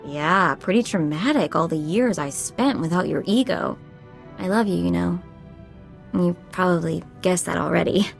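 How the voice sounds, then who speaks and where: A sassy young hacker from a sci-fi game, her voice slightly low. She is wry and casual, tough but affectionate, with faint music underneath. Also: moderate pace, gravelly. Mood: playful, affectionate. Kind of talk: video game dialogue.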